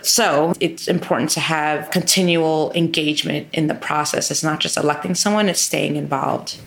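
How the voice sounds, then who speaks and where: A woman's voice: deep voice, steady tone, moderate pace, vocal fry. A woman legislator answering interview questions in an earnest, emphatic way, stacking up lists of repeated phrases. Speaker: state legislator as guest.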